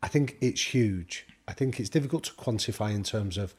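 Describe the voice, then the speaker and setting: A man's voice: lowish voice, moderate pace, darkish tone, breathy edge. A man from the UK, a guest expert, telling anecdotes in casual conversation and acting out the quoted dialogue. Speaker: guest expert on high performance. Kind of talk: conversational storytelling.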